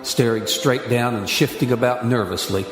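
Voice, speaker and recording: A man narrating a radio play in a grave, deliberate storytelling manner. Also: mid-range voice, close to an everyday voice. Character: solemn male radio drama narrator. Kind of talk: scripted dramatic narration. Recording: echoey hall reverb with music.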